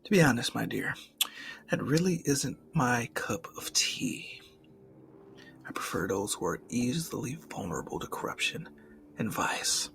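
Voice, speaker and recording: A man playing an ancient, suave and jaded male demon in an ASMR roleplay, speaking in formal, theatrical, half-whispered phrases with a breathy, gravelly voice. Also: medium pitch. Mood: jaded, confiding.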